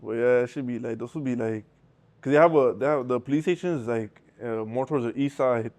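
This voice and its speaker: Young man, interview guest, rapid rambling delivery, heavy slang and profanity. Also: medium pitch, a little mellow. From Southern California. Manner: rambling, profane, street slang, restarts often. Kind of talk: casual storytelling with heavy slang.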